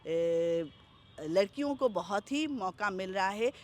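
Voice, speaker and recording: A middle-aged woman from Bihar, India, a stage anchor and folk dancer, answering interview questions in a measured, articulate announcer's manner. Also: mid-range voice, animated, a little mellow.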